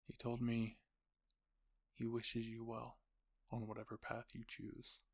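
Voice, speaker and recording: A man voicing a grave, reserved older military instructor in a story, earnest and deliberate. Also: medium pitch, monotone, creaky. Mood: solemn.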